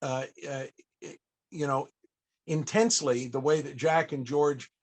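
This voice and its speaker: A man with a slightly high voice interviews a guest on a panel. He talks conversationally and encouragingly, often doubling his phrases for emphasis. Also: fairly even intonation, unhurried.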